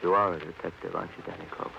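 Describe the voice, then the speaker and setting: Low, gravelly, bitter young drunk. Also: male voice, level tone, rapid-fire, sharp tone, a little hiss or hum, heavily acted. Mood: bitter, sardonic. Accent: American. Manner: florid, poetic, mock-grand delivery. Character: bitter, self-pitying, theatrical drunken young man. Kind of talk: 1940s radio crime drama acting. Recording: dull vintage radio recording with music behind.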